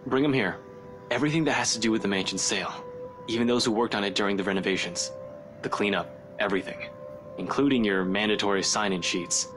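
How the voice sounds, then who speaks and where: A man's voice: lowish voice, fast talker, music in the background, lightly acted. A man playing a cool, determined young investigator in a visual novel game, speaking in a terse, composed, commanding way. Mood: businesslike. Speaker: video game voice actor. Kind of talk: dramatic visual novel dialogue.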